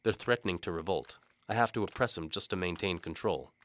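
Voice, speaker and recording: A man's voice: low voice, brisk, a little hiss or hum, pitched above the performer's own voice. A man playing a blunt, exasperated, commanding medieval sheriff in an audio drama. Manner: commanding, loud. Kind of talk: audio drama character acting.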